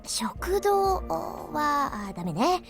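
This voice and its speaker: Lively, high-pitched anime woman officer. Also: slow pace, faint music behind, full character voice. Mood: bossy, cheerful. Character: brisk, bossy, cheerful female military officer in anime game. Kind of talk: Japanese visual novel voice acting.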